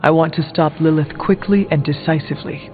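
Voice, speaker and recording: An actor playing a serious, resolute warrior hero in a video game, with a measured, earnest and direct delivery. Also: male voice, a little high, fairly even intonation, phone-quality sound, lightly acted. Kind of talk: scripted video game character dialogue. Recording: band-limited, reverberant game audio with music.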